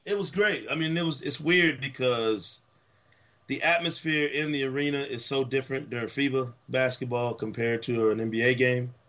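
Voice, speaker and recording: A man with a slightly hoarse, worn voice co-hosts a casual sports podcast. He tells stories with animated enthusiasm, full of fillers and restarts, at an everyday middle pitch. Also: normal speed. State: voice worn from travel. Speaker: podcast co-host.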